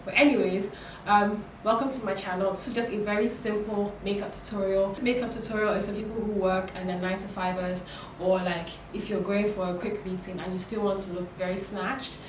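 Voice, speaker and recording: A young woman with a croaky voice from a cold, chatting casually as she walks through a makeup tutorial, with lots of fillers and restarts. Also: mid-range voice, steady tone, a little fast. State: hoarse from a cold. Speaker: beauty vlogger. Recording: roomy, distant, noisy home recording.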